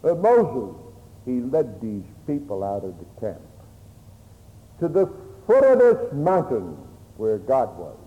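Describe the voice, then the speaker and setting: A man preaching a solemn, oratorical Bible sermon, telling the story emphatically in short, halting phrases. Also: mid-range voice, wildly swooping pitch, dark tone. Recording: echoey hall with long reverb.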